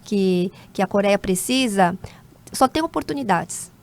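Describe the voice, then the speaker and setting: A woman in her forties, born in South Korea, answers interview questions in an unhurried, considered way. She pauses often with small hesitations as she gathers her thoughts, speaking like a corporate lawyer. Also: medium pitch, lively intonation. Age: 40s. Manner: measured, with frequent hesitation fillers. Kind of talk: conversational interview.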